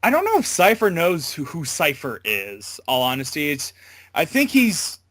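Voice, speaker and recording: A man giving a casual interview as a voice actor, talking in a halting, loosely worded way. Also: on the high side, wildly swooping pitch, a bit bright, no echo, studio quality.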